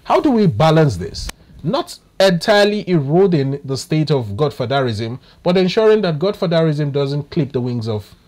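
A man in his thirties with a Nigerian English accent, hosting a studio interview and posing measured questions. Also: fairly high pitch, very animated, darkish tone. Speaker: TV host.

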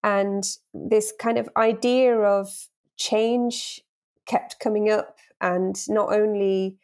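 A woman answering an interview question in an unhurried way, with pauses between phrases. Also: medium pitch, steady tone, darkish tone. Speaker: guest.

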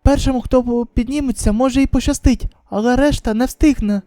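A woman voicing a cautious, observant survivor who explains haltingly in a manga dub. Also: fairly high pitch, warm tone, no room sound, studio quality, natural voice. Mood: tense, careful.

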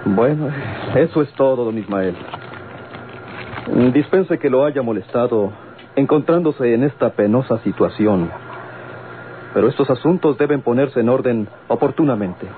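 An actor playing a courteous, composed gentleman with a Mexican accent and formal, measured manner in an old radio drama. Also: mid-range voice, lightly acted. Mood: deferential, businesslike. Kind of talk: golden-age Mexican radio drama acting. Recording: tinny, noisy old radio recording.

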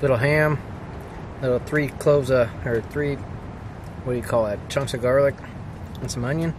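A man in his thirties chats to the camera from inside a tent at night, casual and quick to exclaim. He cracks jokes as he goes. Wind and outdoor noise come through clearly behind him. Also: medium pitch. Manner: excitable, exclaiming, joking. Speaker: camping vlogger. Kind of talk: casual solo vlog narration.

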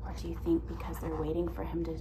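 A woman's voice: deep voice, completely flat delivery, fast. A woman in her thirties, a teacher reading a picture book aloud to young children, expressively and with simple explanations and questions. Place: indoor classroom with alphabet wall displays. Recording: clear background noise.

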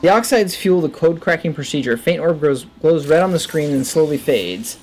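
A man's voice: on the high side, expressive, brisk, a little mellow, slight background noise. A man in his thirties giving casual gaming commentary into a headset, musing to himself as he plays. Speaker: gaming video host. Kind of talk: casual let's play commentary, reading game text aloud.

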